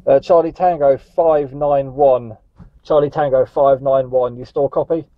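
A man's voice: medium pitch, steady tone, unhurried, dry recording. A man from Northumberland in England chatting in a friendly way as a CB radio operator, using radio jargon. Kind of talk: casual radio contact chat. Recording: dull, muffled radio audio.

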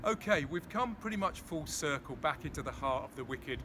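A man's voice: on the high side, lively intonation, brisk, loud music behind the voice. A man in his forties leading a walking tour, explaining sights with enthusiasm while walking outdoors in a city and filming on a close phone camera. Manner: enthusiastic, explanatory, pointing things out. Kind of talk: casual on-location tour narration. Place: outdoors on busy city streets. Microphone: handheld phone camera close to face. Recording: outdoor street traffic noise. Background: street and traffic noise.

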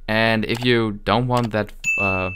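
A man giving a casual software tutorial in a halting, improvised way. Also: fairly low pitch, fairly even intonation, noisy background. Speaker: tutorial host.

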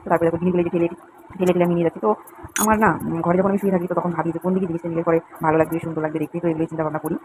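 A woman's voice: on the low side, little pitch movement, racing. A young woman chatting to viewers in a run-on, repetitive way as she narrates a casual home vlog. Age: young adult. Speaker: home vlogger. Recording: clearly noisy home recording, uneven volume.

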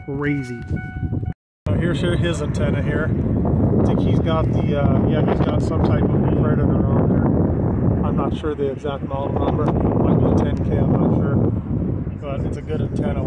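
A man giving a casual, jokey walk-around commentary as an amateur video host, speaking informally with the odd swear word. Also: fairly high pitch. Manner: informal, jokey, mildly profane. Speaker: amateur video host touring CB radio truck setups. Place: parking lot, in and around a pickup truck. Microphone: handheld phone or camera. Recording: noisy handheld outdoor and vehicle recording.

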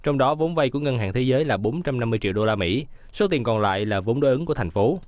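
A man reading scripted news voice-over in a brisk, even newsreader delivery. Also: mid-range voice, no room sound.

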